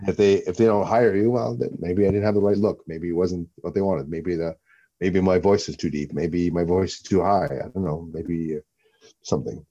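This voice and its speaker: Deep-voiced man musing in an interview. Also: steady tone, moderate pace, dark tone, slightly rough, dull audio. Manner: rambling, repeats himself while thinking. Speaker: guest, actor and former fighter. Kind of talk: relaxed interview talk.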